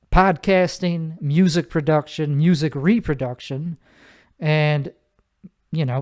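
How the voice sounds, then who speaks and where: A man's voice: a little high, a little mellow, clear, resonant tone. A man hosting his own solo podcast from his bedroom, with a nasal voice, rambling casually and correcting himself often. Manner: rambling, self-correcting, many fillers. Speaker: podcast host.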